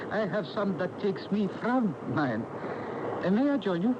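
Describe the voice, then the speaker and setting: An actor playing a genial, courteous guru in a radio drama, with formal, overly polite, quaint phrasing. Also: male voice, sits high, expressive, unhurried. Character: genial, courteous male guru, a chatty train acquaintance. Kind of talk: 1980 radio mystery acting. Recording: muffled AM radio, loud background noise.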